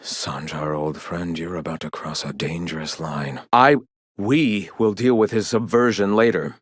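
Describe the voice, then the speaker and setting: An actor playing a zealous, scholarly leader of a philosophical rebel movement in a video game, speaking in a lofty, formal, preachy way. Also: male voice, deep voice, a little slow, breathy edge, no room sound, studio quality. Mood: grave, concerned. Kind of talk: video game character dialogue.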